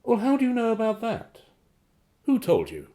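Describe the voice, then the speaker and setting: A glib male secret agent with a mellow, dark-edged voice that swings wildly up and down. He reports to his boss with polite, slippery evasions, theatrically animated in radio drama style. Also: very high-pitched, moderate pace, full character voice. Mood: evasive.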